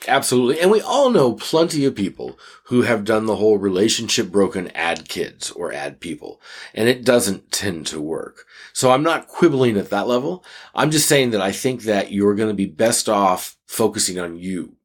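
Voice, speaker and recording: A man with a lowish voice and very animated intonation co-hosts an advice podcast. He phrases things deliberately, leaning on key words and pausing for emphasis. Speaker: podcast co-host. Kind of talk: conversational advice podcast talk.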